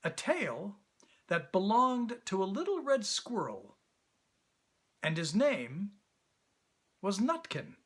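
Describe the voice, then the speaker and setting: A man reading a children's story as an actor, in expressive, deliberate storybook narration. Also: high-pitched, slightly rough.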